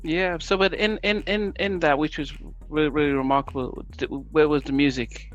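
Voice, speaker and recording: Halting male interviewer. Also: a little high, steady tone. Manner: halting questions with repeated words. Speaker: interviewer host. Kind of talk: interview questions. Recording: dull lo-fi audio with faint music.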